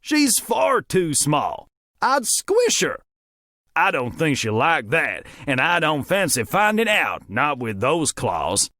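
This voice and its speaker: A man's voice: on the high side, wildly swooping pitch, slow, pushed and bright, dry recording, studio quality, heavily acted. A man voicing a bearded Wild West sheriff, exasperated and emphatic.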